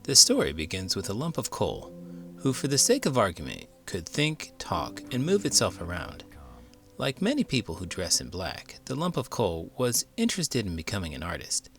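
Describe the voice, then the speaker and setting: Male children's storyteller, lowish voice, very expressive read-aloud delivery, light music underneath. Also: moderate pace. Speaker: children's book reader, elementary school teacher.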